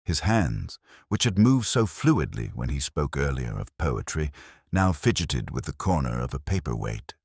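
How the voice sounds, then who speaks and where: A man with an extremely deep voice narrates a detective story in the first person. His delivery is measured and brooding, with plenty of rise and fall, recorded close and dry. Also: big pitch swings, a little mellow, slightly rough, no echo.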